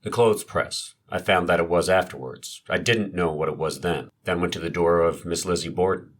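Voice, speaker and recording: Guy acting as police marshal on the stand, really low voice, clipped yes-sir answers, formal n matter of fact, courtroom drama. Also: moderate pace. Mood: curt, formal. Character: police marshal witness, curt, formal, guarded. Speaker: actor reading a witness's part. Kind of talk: dramatized trial transcript reading.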